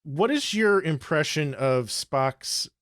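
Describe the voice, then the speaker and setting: A man's voice: fairly high pitch, lively intonation, takes it slowly, fairly bright tone, no echo. Dryly quipping man, close dry sound. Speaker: podcast co-host. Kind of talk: casual panel chat.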